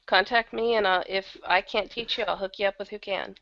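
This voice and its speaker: A woman chatting casually as an interview guest, with a gravelly creak in her voice, over a lo-fi online call. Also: mid-range voice, steady tone, fairly quick, fairly bright tone. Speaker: interview guest, audio drama producer. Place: online video hangout.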